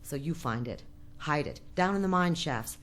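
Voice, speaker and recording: A woman playing a shrewd, bossy outpost chief with a brisk, guarded, commanding manner in a radio drama. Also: deep voice, expressive. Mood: tense, secretive.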